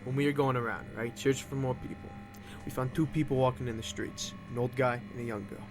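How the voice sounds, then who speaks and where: An actor playing a rough, earnest gang underling in a fan audio drama. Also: male voice, medium pitch, steady tone, fast, close to an everyday voice. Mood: reporting, urgent. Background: music.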